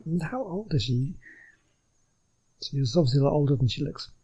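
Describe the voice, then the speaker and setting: A man's voice: on the high side, lively intonation, normal speed, dark tone, slightly rough. A man giving casual game commentary as a let's play host, musing and chatty in a hesitant way.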